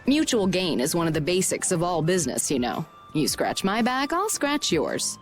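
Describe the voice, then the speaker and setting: A woman playing a shrewd, confident merchant guild president in a video game, brisk and polished in delivery. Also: on the low side, sing-song, faint music behind. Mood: persuasive, confident. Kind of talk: video game dub acting.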